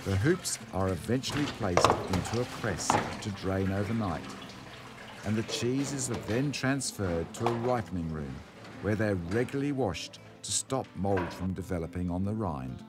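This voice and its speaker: A middle-aged man with an Australian accent, narrating and presenting a food documentary in a measured, unhurried way. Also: fairly low pitch, animated, over loud music. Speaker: host.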